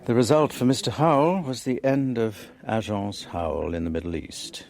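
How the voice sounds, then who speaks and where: An actor in a radio drama playing a composed, skeptical interrogator, speaking in a deliberate, dry and pointed way. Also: male voice, medium pitch, a little mellow, natural voice. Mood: grave recounting. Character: probing, skeptical, composed male interrogator and narrator.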